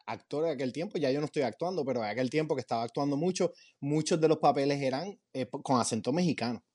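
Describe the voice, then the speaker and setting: A man's voice: mid-range voice, fast, a little mellow, breathy edge. A man with a Puerto Rican accent chatting casually as he interviews guests. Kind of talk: casual chat.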